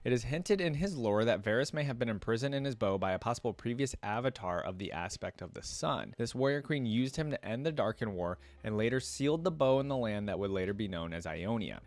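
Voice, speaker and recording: A young man hosting a reaction video, reading passages aloud and breaking off into casual, filler-heavy asides. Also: fairly low pitch. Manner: reads text aloud, then breaks off with chatty asides and filler.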